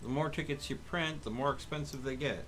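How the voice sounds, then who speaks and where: Man, bright forceful voice, casual gaming talk, explains while thinking aloud, music behind. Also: medium pitch, a little fast. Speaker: gaming streamer, host. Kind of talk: casual gameplay chat with co-players.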